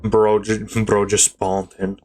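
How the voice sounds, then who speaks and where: A man's voice: lowish voice, completely flat delivery, takes it slowly, darkish tone. A man giving casual, informal gameplay commentary as a streamer, using slangy speech. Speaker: video game streamer.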